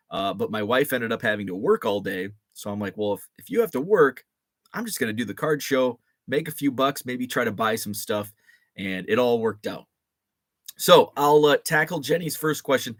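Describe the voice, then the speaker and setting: A man around forty hosting a casual live show, a bit groggy and deeper-voiced after a late night, rambling with lots of fillers and restarts. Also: fairly bright tone, no echo, studio quality. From Illinois. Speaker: host.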